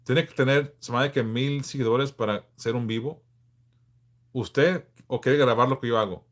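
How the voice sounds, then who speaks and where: A man in his forties from Southern California, hosting a casual livestream and answering viewers in a frank, laid-back way with halting restarts. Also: medium pitch, fairly even intonation. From Los Angeles, California. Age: 40s. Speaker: livestream host.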